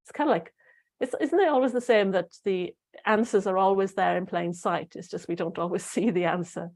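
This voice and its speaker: A middle-aged woman hosting a spiritual talk show, musing and rambling with fillers and restarts, her voice slightly croaky. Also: medium pitch, a little fast, darkish tone. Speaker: spiritual podcast host. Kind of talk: casual solo talk to listeners.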